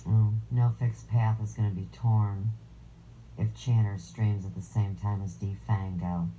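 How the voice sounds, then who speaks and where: A woman with a low voice hosting a live stream, reading viewer comments aloud with sarcastic, crude jabs. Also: flat delivery, measured pace, warm tone, highs cut off, slight background noise.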